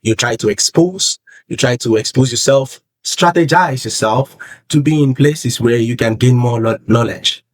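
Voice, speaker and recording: A man's voice: mid-range voice, normal speed, dry recording. A young man from Nigeria with a Yoruba accent, giving earnest personal advice in a vlog and repeating phrases for emphasis. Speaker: vlogger. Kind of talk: personal advice talk to camera.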